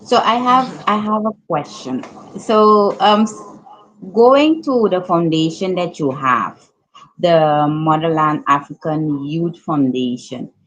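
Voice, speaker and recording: Woman, panelist, low voice, very slow, deliberate, video call. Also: animated, low-quality recording.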